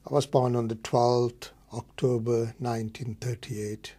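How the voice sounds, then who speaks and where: Man in his 70s from Malaysia; husky, monotone interview recollections. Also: mid-range voice, measured pace. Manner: plain, matter-of-fact recollection. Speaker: oral history interviewee. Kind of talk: reminiscing interview.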